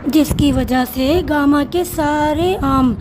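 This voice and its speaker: A woman narrating a cartoon story in a slow, measured storytelling manner. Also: high-pitched. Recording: cartoon voice-over with music.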